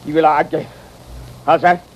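A man plays a gullible frontier saloon keeper in an old radio western. The American drawl is unhurried, folksy and full of stammers, greedy and excitable in temper. Light noise sits on the vintage recording. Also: fairly high pitch, lo-fi sound, close to an everyday voice. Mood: flustered. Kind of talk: 1950s radio western acting.

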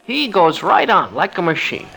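An actor playing a cocky, gloating betting man with a gravelly, sharp voice and slangy needling talk, in an old radio drama. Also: high-pitched, very animated, normal speed, heavily acted. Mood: smug. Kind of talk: 1940s-50s radio comedy-drama acting.

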